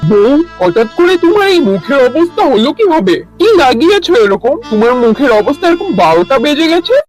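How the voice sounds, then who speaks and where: A man playing a sensible village husband from a cartoon fable, scolding with very lively, swinging intonation. He lectures reproachfully over background music. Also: very high-pitched, a little fast. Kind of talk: Bengali moral-story cartoon dubbing. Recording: music behind the voice.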